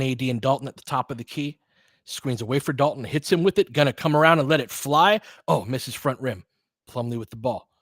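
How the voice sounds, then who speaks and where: A man's voice: medium pitch, breathy edge, dry recording. A man hosts a live audio basketball call, mixing play-by-play with loose analysis. He is informal and openly partisan, rooting for his team. The sound is close and dry. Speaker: play-by-play commentator and host.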